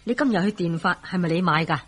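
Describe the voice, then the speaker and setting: A woman's voice: fairly low pitch, expressive, fast talker, a bit bright, husky. An actress playing a good-humored, reflective middle-aged mother in a Hong Kong Cantonese radio drama. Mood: pleased.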